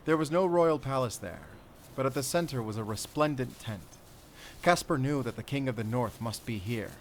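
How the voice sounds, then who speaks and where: Casting: male actor as grave werewolf hunter and spy for audio drama; measured, earnest storyteller, middle pitch, ambient noise behind. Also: animated, natural voice. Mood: solemn, wistful. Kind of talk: cinematic audio roleplay acting.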